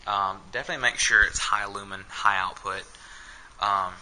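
A man's voice: on the low side, steady tone, normal speed, bright, forceful tone, slight background noise, low-quality recording. A young man filming himself indoors with a head-mounted camera, casually walking through his camping gear with many ums and uhs. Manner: hesitant, many fillers. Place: small indoor room.

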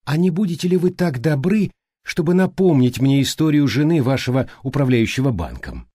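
A man voicing a thoughtful, courteous, exacting male detective in an audiobook, speaking politely and deliberately. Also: fairly high pitch, very animated, mellow, slightly rough, dry recording, studio quality, voice pushed up. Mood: inquisitive. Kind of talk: audiobook character reading.

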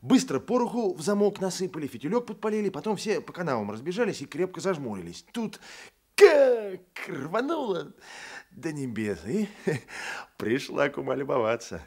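An actor playing a boastful, sly Cossack constable in a radio play, spinning yarns in rustic colloquial speech. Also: male voice, fairly high pitch, sing-song, moderate pace. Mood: sly, jaunty.

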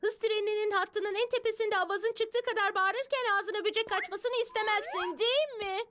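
A woman's voice: very high-pitched, normal speed, fairly bright tone. A woman voicing a dramatic, bossy, fashion-obsessed young girl in a cartoon, given to theatrical exclamations. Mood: excited. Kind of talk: cartoon dubbing.

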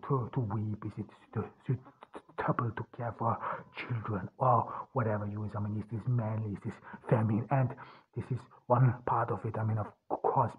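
A middle-aged man from Austria with a deeply husky, breathy voice that sits a little low. He talks through his ideas alone, haltingly, stopping and restarting often. The sound is thin and tinny, as if over a phone line. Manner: halting, many restarts and fillers. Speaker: podcast host. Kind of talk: solo spoken monologue.

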